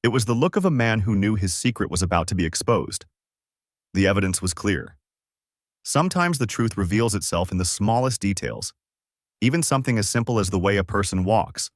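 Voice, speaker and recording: A man's voice: fairly low pitch, expressive, fairly quick, dry recording, clean studio sound. A man narrating a scripted story in a dramatic, solemn, suspenseful way.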